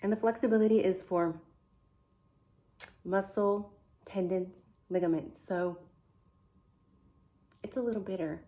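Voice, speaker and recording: A woman hosting a true crime vlog speaks slowly and unhurriedly, in English that is not her first language. Her voice sits at an ordinary middle pitch. She chats casually, thinks out loud and keeps asking her viewers what they make of things. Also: fairly even intonation. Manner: chatty, wondering aloud, asks viewers questions. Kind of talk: casual to-camera chat.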